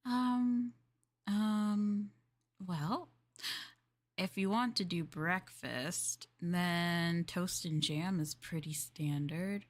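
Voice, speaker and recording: A woman's voice: fairly low pitch, extremely slow. A woman streamer playing a maid in a roleplay, chatting with viewers in a playful, teasing and politely formal way.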